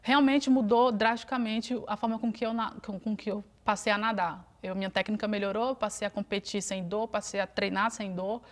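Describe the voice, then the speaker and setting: A woman in her thirties from Brazil, a guest on a TV studio interview, speaking in a relaxed, reflective way with frequent fillers. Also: mid-range voice, normal speed, hardly any pauses. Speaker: interview guest, Paralympic swimmer.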